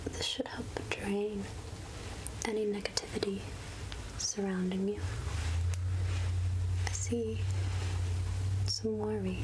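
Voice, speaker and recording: A woman playing a soothing, wry outcast witch healer in a soft, whispered ASMR roleplay, speaking gently with musing pauses. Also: mid-range voice, heavy background noise, lightly acted. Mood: gentle, reassuring.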